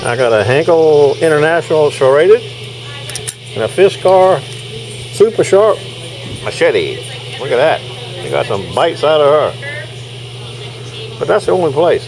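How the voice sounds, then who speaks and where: A man's voice: on the high side, sing-song, a little slow, pauses often, darkish tone. Chatty older man at an outdoor market. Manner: chatty, folksy, laughs often. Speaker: knife sharpener vlogger. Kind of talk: casual running commentary. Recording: faint outdoor noise.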